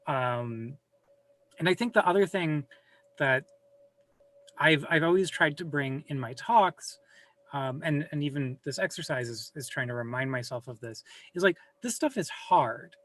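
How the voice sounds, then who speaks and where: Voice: medium pitch, a little slow, fairly bright tone, low-quality recording. A guest on a video call chatting casually, rambling and self-deprecating, with casual swearing, recorded at home. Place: home room with a server rack.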